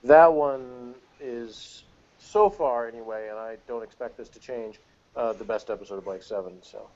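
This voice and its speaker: Middle-aged man, average pitch, casual review talk, rambling and halting, muffled lo-fi audio. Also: darkish tone. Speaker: podcast panelist reviewing TV episodes. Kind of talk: casual opinionated review chat.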